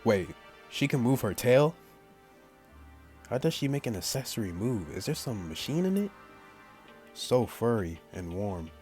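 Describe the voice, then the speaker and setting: A man's voice: medium pitch, very animated, measured pace, pauses often, a little mellow. A man doing a let's play of a visual novel, reading the text aloud and tossing in slangy, jokey asides over game music. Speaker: gaming YouTuber. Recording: music behind the voice.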